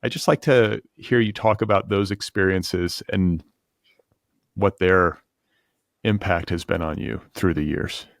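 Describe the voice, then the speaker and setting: Very low-voiced American man hosting. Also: fairly even intonation, unhurried, vocal fry, no room sound, clean studio sound. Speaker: podcast host, interviewer. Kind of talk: casual interview conversation.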